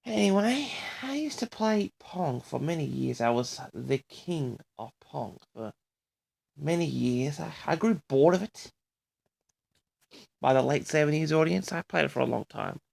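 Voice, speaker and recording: Man, sixties, extremely creaky voice, audio log host, halting delivery, boastful, rambling reminiscence. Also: fairly high pitch, expressive, darkish tone. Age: 60s. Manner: boastful, halting, often addresses the audience. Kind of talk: rambling personal reminiscence to listeners.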